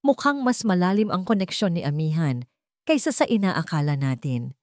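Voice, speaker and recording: A woman's voice: fairly low pitch, sing-song, moderate pace, a little mellow, no echo, clean studio sound. A woman voicing a deceitful male henchman who reports deferentially to his boss in an audio drama story. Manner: deferential, earnest-seeming.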